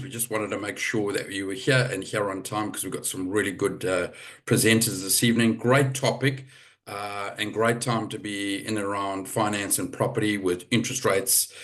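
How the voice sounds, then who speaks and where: Relaxed low-voiced man hosting a webinar. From Zimbabwe. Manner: relaxed, chatty, many fillers and restarts. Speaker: webinar host. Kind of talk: hosting a live property webinar. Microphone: video call.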